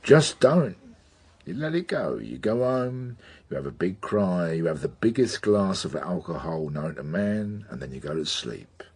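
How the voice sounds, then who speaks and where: An actor playing a blunt, gruff British police detective mentor in a radio drama, sardonic and emphatic, with a husky voice and slangy speech. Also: male voice, low voice, sing-song, unhurried, darkish tone, heavily acted. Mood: coaxing. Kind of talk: naturalistic radio drama acting.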